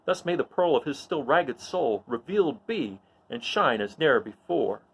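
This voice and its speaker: An earnest young farmboy rebel, as a man reads him aloud, pitched well up and full of fervor. He declaims in Shakespearean verse with big, swinging intonation, like the hero of a dramatic storybook. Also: animated, moderate pace, slightly rough. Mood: troubled, scheming.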